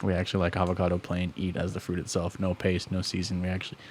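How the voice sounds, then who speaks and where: A young man from Arizona co-hosting a podcast, chatting casually with dry, deadpan teasing. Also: low voice, fast. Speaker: podcast co-host. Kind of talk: casual chat over beers.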